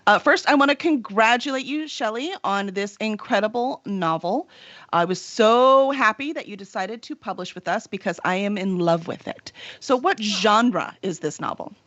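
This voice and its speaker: A woman's voice: mid-range voice, normal speed, a bit bright, dry recording, dull audio. A woman hosting a casual interview as a book publisher, speaking in an effusive, chatty manner. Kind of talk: casual interview, cover reveal.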